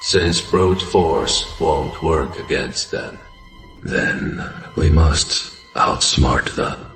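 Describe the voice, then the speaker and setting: An actor playing a cold, calculating pavilion master in an anime English dub, speaking in a low, measured, commanding way. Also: male voice, steady tone, fairly bright tone, faint music behind, full character voice. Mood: scheming. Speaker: sect leader.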